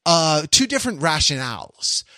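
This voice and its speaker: Male podcast co-host, slightly high voice, loose rambling delivery, recorded dry and close. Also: sing-song, moderate pace, sharp tone, no room sound. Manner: rambling, many restarts, laughing asides. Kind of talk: casual discussion.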